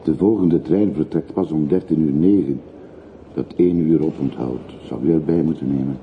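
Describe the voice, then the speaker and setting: Very deep-voiced, chatty railway porter. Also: male voice, moderate pace. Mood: obliging. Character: talkative, obliging older railway porter, easygoing local man. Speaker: actor in a radio play. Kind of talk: radio drama acting. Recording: muffled narrowband radio recording with hiss.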